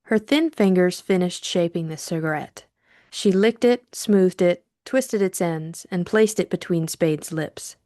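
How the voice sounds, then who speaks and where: A woman reading literary fiction as an audiobook narrator, measured and deliberate in her storytelling. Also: on the low side, warm tone, no room sound, clean studio sound.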